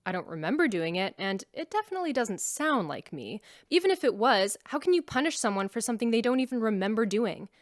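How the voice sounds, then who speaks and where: A defiant troublemaker in a sci-fi radio drama. Her high voice bounces around animatedly as she argues, recorded close and dry. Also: big pitch swings, fast, hardly any pauses, dry recording. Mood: defensive, indignant. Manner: argumentative. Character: defiant female troublemaker arguing her innocence.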